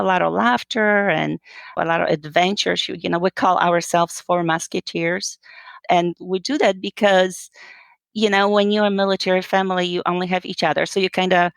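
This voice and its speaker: A woman's voice: lowish voice, vocal fry, a bit bright, dry recording. A woman in her fifties from Poland, a guest telling her story in a casual interview, chatty, with many fillers and restarts.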